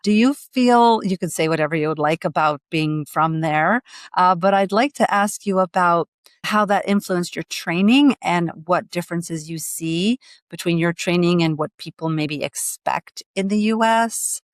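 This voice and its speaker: Lady doctor hosting a podcast, lowish voice, thinks out loud, dry close mic. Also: unhurried, no room sound. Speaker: physician and podcast host. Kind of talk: podcast interview.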